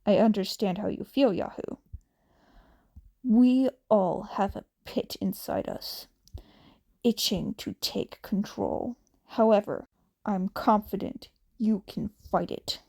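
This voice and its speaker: A woman's voice: mid-range voice, slow pace, gravelly, warm tone. A woman voicing a solemn, brooding teenage bird-headed boy hero in a story, grave and melodramatic.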